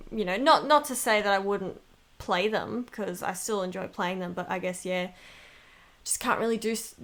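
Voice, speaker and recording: A woman's voice: medium pitch, fairly bright tone. Informal, chatty female voice actor. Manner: giggly, informal, rambling. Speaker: voice actor being interviewed. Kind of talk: casual interview chat.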